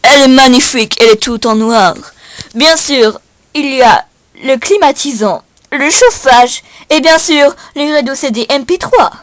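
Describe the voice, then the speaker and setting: A woman's voice: sits high, a little slow, no echo. A female performer playing a proud car presenter in a mock commercial. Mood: proud, promotional. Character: car advert presenter proudly showing off a model.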